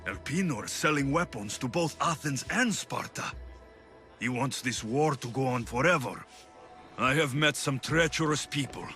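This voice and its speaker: An actor playing a wary, vengeful young mercenary in a video game, with a blunt, guarded delivery. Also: male voice, medium pitch, lively intonation, moderate pace, pushed and bright. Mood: suspicious. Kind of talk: video game cutscene acting. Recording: music behind the voice.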